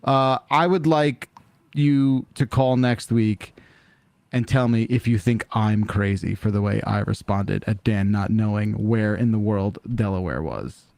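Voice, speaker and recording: A man's voice: lowish voice, measured pace, creaky, darkish tone, no room sound. A man hosting a call-in podcast in casual chat, wry and joking, often restarting mid-sentence. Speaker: podcast host.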